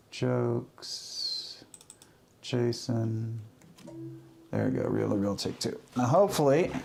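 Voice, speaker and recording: Slow, very gravelly man talking through his work. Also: mid-range voice, a little mellow. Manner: talks through each step in short, halting phrases. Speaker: livestreaming audio producer. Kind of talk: casual work-along narration.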